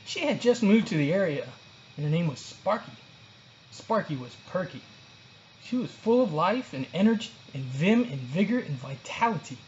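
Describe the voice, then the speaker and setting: A storyteller narrating a children's book aloud in an expressive read-along style. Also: mid-range voice, highs cut off, slight background noise.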